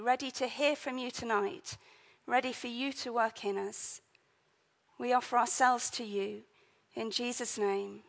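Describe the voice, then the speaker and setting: High-voiced woman leading a service. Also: gravelly, a bit bright. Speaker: church service leader. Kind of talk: scripture reading and prayer.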